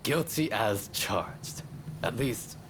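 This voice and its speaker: A polite wry hermit samurai scholar in a game, lively pitch, unhurried, noisy ambience behind. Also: male voice, heavily acted. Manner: measured, articulate, wry. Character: reclusive samurai medical researcher, wry, courteous, unwarlike. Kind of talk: dramatic video game acting.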